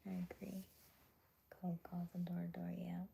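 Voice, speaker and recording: A young adult woman hosting a casual livestream, chatting with viewers in a chatty way with frequent laughs and soft, whispery stretches. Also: on the low side, monotone, moderate pace, gravelly. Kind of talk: casual live chat reading viewer comments.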